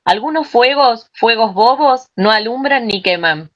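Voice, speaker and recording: A woman's voice: on the high side, expressive, measured pace. Dull-sounding video call, middle-aged woman presenting. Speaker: workshop presenter. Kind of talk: presenting and reading aloud. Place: indoor room. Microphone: computer mic on a video call.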